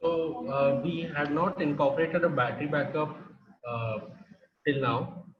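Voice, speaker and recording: Indian man presenting a technical workshop; slightly high voice, roomy and dull call audio. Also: steady tone, measured pace, slight background noise. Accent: Indian English. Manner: explanatory, with frequent fillers and restarts.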